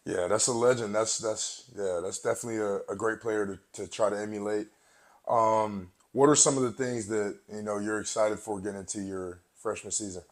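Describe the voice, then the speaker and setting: A man with a fairly low voice hosts a sports podcast. He asks his questions in an easy, conversational way and keeps his guest going with soft "mm" sounds between the answers. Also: a little fast. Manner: relaxed, affirming with quiet mm-hmms. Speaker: podcast host. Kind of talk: conversational interview.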